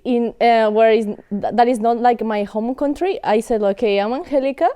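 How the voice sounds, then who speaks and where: Colombian-accented young woman, mellow smooth voice. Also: a little high, normal speed. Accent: Colombian Spanish. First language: Spanish. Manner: hesitant, many fillers and restarts. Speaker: guest, student. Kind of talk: casual interview chat.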